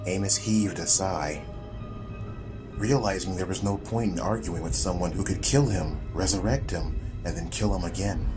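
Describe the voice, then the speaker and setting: A man narrating a scripted audiobook story in a measured, deliberate, dramatic storytelling style. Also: sits low.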